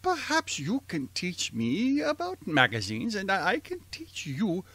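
Guy playing shy german professor in an old-style radio play, halting, voice swoops around, slow. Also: high voice, huge pitch swings, pushed and bright, dry recording, exaggerated voice. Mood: bashful, friendly. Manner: hesitant, halting. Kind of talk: old-fashioned radio drama acting.

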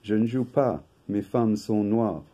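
An older man, native English speaker from England, reading a prepared talk from paper in a slow, measured way at home. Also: on the low side, mellow. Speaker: video host. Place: home room with bookshelf.

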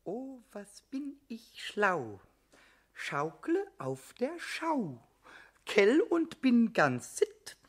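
A man playing a small, cheeky, boastful childlike kobold in a radio play, with a squeaky, croaky voice and singsong delivery. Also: exaggerated voice. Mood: gleeful, self-satisfied. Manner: singsong, drawn-out rhyming and excited outbursts. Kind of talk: German radio play acting.

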